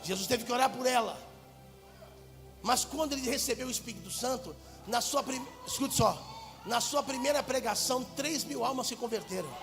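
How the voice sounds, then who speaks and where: A Brazilian man preaching with fervor, his voice strikingly high and gravelly. He lurches from shouts to hushed asides. The sound carries the long reverb of a big church hall, with faint music underneath. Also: normal speed. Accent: Brazilian Portuguese. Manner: fervent, swings from loud shouts to low asides. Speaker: pastor. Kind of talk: preaching a sermon.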